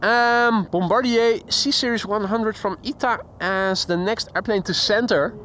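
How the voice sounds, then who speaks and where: A man's voice: sits high, a little slow, sharp tone, slight background noise. A Dutch man with a Dutch accent hosting a live plane spotting stream outdoors by an airport, chatting casually and reading chat messages aloud. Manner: chatty, reads chat aloud, imitates sounds. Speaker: plane spotting livestream host. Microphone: handheld shotgun mic.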